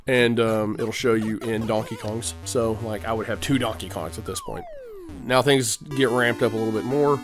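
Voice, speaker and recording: A man with a cold giving rambling, casual commentary while playing an old video game, often clearing his throat and using fillers. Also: medium pitch, wildly swooping pitch, moderate pace. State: has a cold. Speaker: let's play host. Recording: game music behind the voice.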